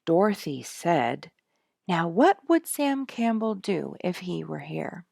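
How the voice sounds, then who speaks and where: A woman's voice: fairly low pitch, very animated, takes it slowly, vocal fry, a little mellow, no echo, studio quality, pitched above the performer's own voice, exaggerated voice. A woman voicing a rueful, dramatic father in a storybook, weary and emphatic as he recounts his troubles. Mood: earnest recounting.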